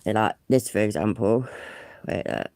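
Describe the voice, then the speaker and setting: A woman's voice: rumbling low, normal speed, warm tone, no room sound, clean studio sound. A chatty woman with a stuffed-up cold, hosting a casual livestream on her phone and swearing freely. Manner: chatty, sweary, self-deprecating. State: congested with a cold. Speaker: livestream host. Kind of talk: casual live stream chat.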